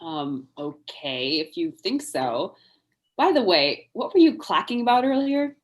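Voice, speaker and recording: A woman's voice: lowish voice, very animated, measured pace. A woman in an amateur table read playing a practical, skeptical detective's sidekick in a matter-of-fact way. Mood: doubtful, subdued.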